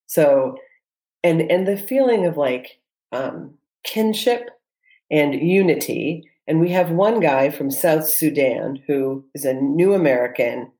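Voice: medium pitch, gravelly, darkish tone, low-quality recording. An entrepreneur answering interview questions in an earnest, reflective way, often restarting sentences and using fillers. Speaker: entrepreneur guest.

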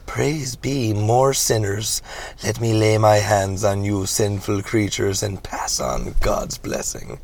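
A man playing a greedy, lecherous movie reverend who preaches indignantly in drawn-out phrases. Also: on the low side, vocal fry, a little mellow, heavily acted. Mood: lecherous piety.

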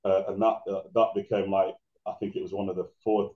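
A man with a deep voice telling stories about his DJ career in a casual interview, rambling with many restarts. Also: flat delivery, brisk. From England. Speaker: guest DJ. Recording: roomy, dull lo-fi sound.

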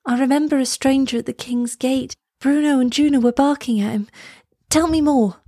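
A woman's voice: high voice, moderate pace, warm tone, no room sound, studio quality, pitched above the performer's own voice. A woman voicing an earnest, polite young girl who speaks with pleading emotion in a children's storybook. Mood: curious. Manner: pleading, exclamatory.

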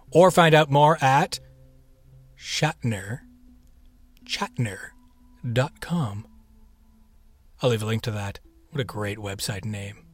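A man hosting a podcast with a playful, theatrical manner, chatting his way through a scripted introduction. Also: mid-range voice. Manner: playful, theatrical, with whispered echo-like repeats and asides. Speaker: podcast host.

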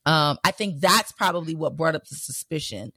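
A woman's voice: deep voice, moderate pace, slightly rough, dry recording, clean studio sound. A woman co-hosting a casual podcast chat, telling stories and acting out other people's words with frequent laughter. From Haitian heritage. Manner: animated storyteller, acts out quotes, laughs often. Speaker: podcast co-host.

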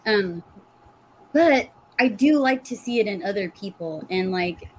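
A woman's voice: medium pitch, lively intonation, unhurried, darkish tone, a little hiss or hum, rough recording. A woman with an American accent chatting casually on a podcast, often searching for her words. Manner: hesitant, searching for words, giggly. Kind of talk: casual panel chat.

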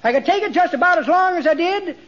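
A jolly old American small-town codger, talks in a very high, swooping voice with a folksy drawl. He teases and rambles in radio comedy style. The old recording is dull, with an audience behind him. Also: animated, quick pace, a bit bright. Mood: jaunty, boastful. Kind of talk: 1940s radio comedy acting.

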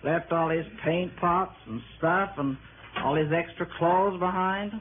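A woman's voice: lowish voice, unhurried, phone-quality sound, close to an everyday voice. A woman playing a brassy, defensive rooming-house landlady, blunt and insistent, in an early 1960s radio drama. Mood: grumbling. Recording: thin, noisy old radio broadcast.

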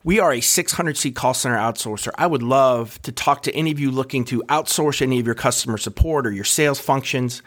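A middle-aged man hosting a podcast, nasal from a cold, chatty and quick with frequent restarts. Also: medium pitch, fairly bright tone. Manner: chatty, restarts words, fast bursts. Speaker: podcast host. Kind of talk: casual podcast talk.

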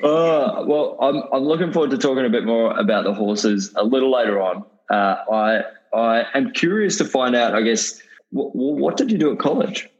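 A man's voice: medium pitch, moderate pace, muffled recording. A man hosting a podcast interview, enthusiastic and chatty, often restarting sentences with fillers. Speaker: podcast host. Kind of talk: casual interview chat.

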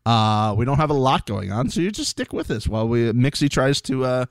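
A man's voice: medium pitch, sing-song, a little fast, no echo, studio quality. A man co-hosting a casual podcast, joking and swearing freely. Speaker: podcast co-host. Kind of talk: casual chat.

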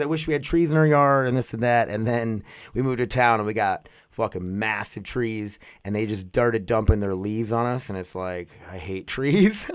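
A man's voice: medium pitch, no echo, clean studio sound. A young man, rambling casually to his viewers, often restarting and laughing at himself. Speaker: vlogger.

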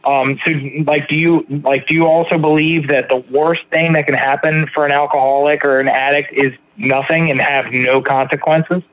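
Brief: male podcast host, slightly high voice, halting, stumbles and repeats words. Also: little pitch movement, a bit bright, dry recording, poor audio. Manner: halting, repeats words, many ums and likes. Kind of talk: casual interview.

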